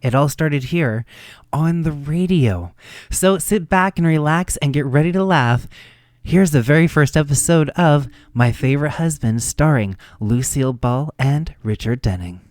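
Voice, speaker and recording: A man's voice: fairly high pitch, expressive, a little slow, darkish tone, no room sound, studio quality. A man hosting a radio show, presenting from a script.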